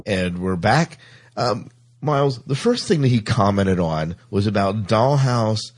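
A man's voice: fairly low pitch, animated, a little slow, very noisy. A man hosting a podcast in casual, conversational chat, putting questions to guests and sharing opinions. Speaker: podcast host.

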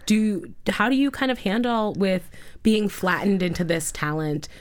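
A woman's voice: medium pitch, lively intonation, measured pace. A woman interviewer, also a writing teacher, asking thoughtful, probing questions in a casual way.

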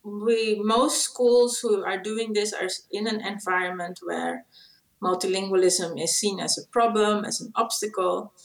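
Echoey recording, mid-pitched woman, middle-aged, Dutch accent, native Dutch speaker, explanatory interview answers, hesitant pauses. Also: creaky. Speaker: interview guest, co-founder of a school language programme. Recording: echoey room sound.

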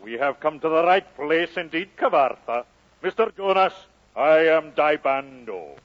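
A boxing man with a fairly high male voice and deliberate, mock-courteous menace. It comes from a muffled vintage radio play. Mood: mock-polite. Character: courtly, menacing Welsh prizefighter, mock-polite. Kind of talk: 1940s-50s radio drama acting. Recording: dull old radio recording.

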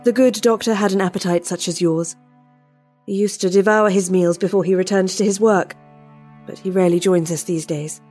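An actress playing the quietly commanding lady of a sinister house, speaking gently and insistently in a horror audio drama. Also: lowish voice, fairly even intonation, normal speed, natural voice. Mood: softly insistent. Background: music.

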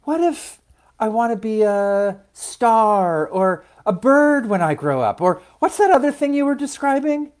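A man's voice: high-pitched, very animated, unhurried, a little mellow, pitched above the performer's own voice. A man voicing an eager, dreamy little girl seed in a children's story, pleading and full of questions. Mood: curious, pleading. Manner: pleading, wide-eyed, inquisitive.

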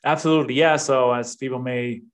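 A man's voice: medium pitch, steady tone, brisk. Danish-accented man in his fifties. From Denmark. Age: 50s. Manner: conversational, informal. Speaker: podcast guest, real estate investor. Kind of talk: casual interview chat.

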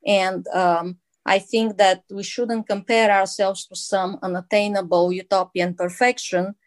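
A woman's voice: medium pitch, little pitch movement, no echo. Bulgarian-accented woman author speaking earnestly. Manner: earnest and measured, with frequent uh fillers and restarts. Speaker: author and guest. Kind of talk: interview answers.

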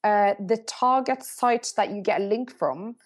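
A middle-aged woman speaking English, answering interview questions thoughtfully and often pausing to rephrase. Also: mid-range voice. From Norway. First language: Norwegian. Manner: thoughtful, pauses to search for words and rephrases. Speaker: agency CEO, guest.

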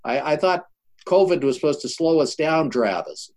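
An older man hosting a show, introducing and interviewing his guest with emphatic, deliberate phrasing. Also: fairly high pitch, lively intonation, a little mellow. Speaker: show host.

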